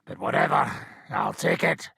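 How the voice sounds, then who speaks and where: An actor playing a brash, foul-mouthed medieval mercenary commander in a video game, with a rough, raspy voice and a coarse, sardonic swagger. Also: male voice, medium pitch, lively intonation, moderate pace, sharp tone, no echo, heavily acted. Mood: mocking, joking. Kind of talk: video game character acting.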